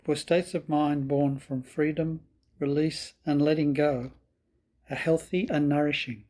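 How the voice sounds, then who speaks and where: A man with a slightly husky voice guiding a meditation in calm, measured, deliberate phrases. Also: on the high side. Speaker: meditation teacher. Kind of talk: slow guided meditation.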